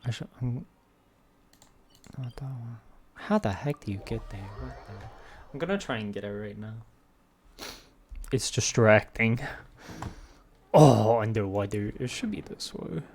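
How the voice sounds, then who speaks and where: A man's voice: lowish voice, lively intonation, a little slow, pauses often, a little mellow, slight background noise. A man doing casual live commentary as a game streamer, playful and slangy, rambling as he plays.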